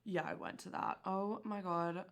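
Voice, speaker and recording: A woman's voice: fairly low pitch, fairly even intonation, measured pace, gravelly. An actress playing a bubbly, self-absorbed teenage girl who hosts a family quiz and talks in casual, gossipy slang. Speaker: quiz host in the story. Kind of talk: naturalistic screen drama dialogue.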